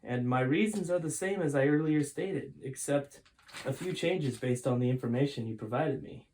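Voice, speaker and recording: A courteous older man, played by a male reader in a storybook, pitched higher. He is frank and cautious, and speaks in a measured, emphatic way. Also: darkish tone, a little hiss or hum, close to an everyday voice, low-quality recording. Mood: grave, frank.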